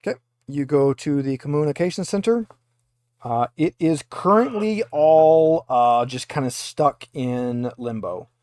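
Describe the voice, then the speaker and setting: A man with a slightly high voice acts as game master for a tabletop roleplaying game. His delivery is halting, as if thinking aloud, and the sound is dry, with very little room to it. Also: a little mellow, dry recording.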